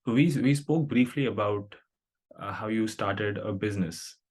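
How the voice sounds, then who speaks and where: A man hosting a casual interview podcast, asking questions in a relaxed way with many fillers. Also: on the low side, measured pace. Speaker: podcast host.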